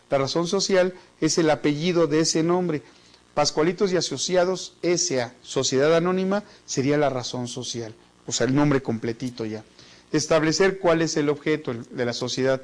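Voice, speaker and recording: A man's voice: on the high side, normal speed. A man with a Mexican accent teaching a business class in a chatty, joking way, often repeating words as he thinks. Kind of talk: online business class lecture.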